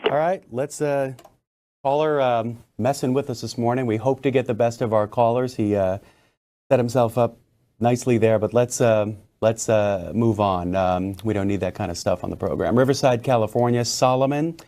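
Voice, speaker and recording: A man hosting a live political call-in show, speaking politely and haltingly, often repeating words as he goes. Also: medium pitch, mellow. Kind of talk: unscripted live broadcast hosting.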